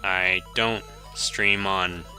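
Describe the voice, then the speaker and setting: A man's voice: sits low, steady tone, drawn-out words, pushed and bright, faint music behind. A man whose first language is Japanese, chatting easily while streaming a game, chuckling often and correcting himself as he answers viewers. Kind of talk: casual gameplay commentary, reading chat.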